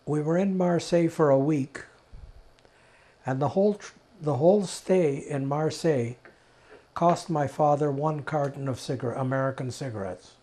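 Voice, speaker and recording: An elderly man from Czechoslovakia giving personal testimony, telling his story deliberately in short, emphatic phrases with pauses. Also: on the high side, mellow. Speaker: interviewee, Holocaust survivor giving testimony. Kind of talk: personal oral history testimony.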